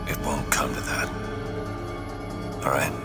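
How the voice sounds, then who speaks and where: An actor playing a weary, gruff middle-aged protector in a video game, terse and urgent. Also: male voice, very deep voice, flat delivery, slow, over loud music. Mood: grim, determined. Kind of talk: video game cutscene acting.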